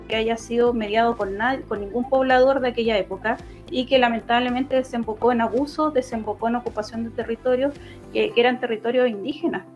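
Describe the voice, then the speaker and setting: Halting Chilean woman, higher-pitched, dull audio. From Los Ríos region, southern Chile. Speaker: political candidate and law lecturer. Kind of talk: spoken interview answers in an online debate. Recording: dull lo-fi online audio, music behind.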